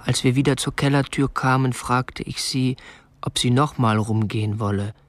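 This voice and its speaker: An actor playing a meek, obsessive young kidnapper who narrates a radio play in a restrained, earnest, stiffly polite way. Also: male voice, medium pitch, moderate pace, lightly acted. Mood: stiff, confessional. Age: young adult. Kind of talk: radio drama narration and dialogue.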